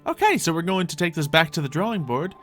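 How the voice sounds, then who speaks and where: A man's voice: fairly high pitch, big pitch swings, fast talker, fairly bright tone, faint music behind. A man improvising in-character gameplay commentary for a roleplay, musing aloud as if talking to himself. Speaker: gaming YouTuber playing a roleplay character.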